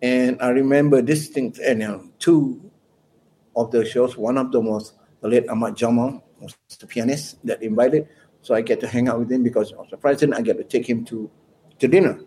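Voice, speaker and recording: Man, mellow, warm voice; relaxed, rambling anecdotal guest. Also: medium pitch, moderate pace, slight background noise, rough recording. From Malaysia. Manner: rambling, anecdotal storyteller with chuckles. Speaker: guest musician. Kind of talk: casual interview chat.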